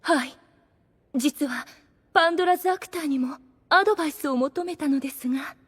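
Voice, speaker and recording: A woman's voice: high-pitched, fairly quick, close to an everyday voice. A woman playing a devoted, lovestruck female servant in an anime audio drama, speaking very politely and theatrically. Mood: humble, apologetic. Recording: music behind the voice.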